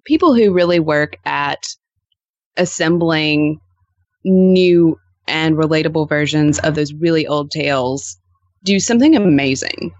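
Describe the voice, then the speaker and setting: A woman's voice: low voice, measured pace, no echo, muffled recording. Chatty woman podcast guest, close dry sound. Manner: animated anecdotes peppered with "like" and "you know". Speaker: podcast guest, storytelling podcast co-host. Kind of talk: casual interview chat.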